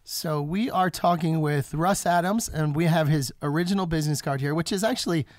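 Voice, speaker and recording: Man, fairly high voice, casual host, teasing, dry sound. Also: brisk, no echo. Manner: relaxed, chatty, teasing. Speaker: podcast host. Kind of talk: casual interview chat. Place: guest's studio, on location.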